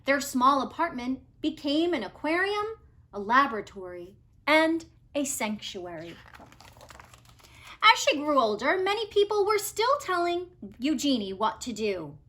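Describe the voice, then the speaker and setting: A woman narrates a children's picture book in a high, very lively voice. She takes it slowly, leaning on important words and pausing for dramatic effect. The delivery is expressive scripted storytelling. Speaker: read-aloud storybook reader.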